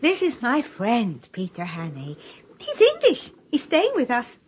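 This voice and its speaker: A trusting young island girl chatters and exclaims. Her voice is high and lilting in a radio play. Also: huge pitch swings, measured pace, slight background noise. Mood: polite. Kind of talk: radio drama acting.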